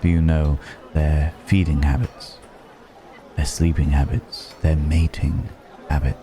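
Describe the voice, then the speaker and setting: A man playing a mysterious, philosophical ancient dragon disguised as a stranger, speaking in an intimate ASMR roleplay with long, pensive pauses. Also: a bass voice, flat delivery, background noise. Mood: quietly reproachful. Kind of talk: ASMR roleplay, slow intimate dramatic monologue.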